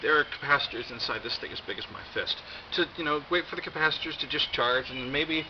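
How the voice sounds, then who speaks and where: An American man giving a casual, rambling show-and-tell with wry, deadpan humour and a halting delivery. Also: a little high. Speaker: video host. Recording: dull lo-fi audio, loud background noise.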